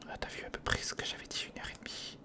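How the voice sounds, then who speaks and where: An ASMR creator, speaking in a breathy whisper close to the microphone, casually talking through hands-on work and repeating short phrases. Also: racing. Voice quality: breathy, mostly unvoiced whisper. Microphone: close studio recorder microphone.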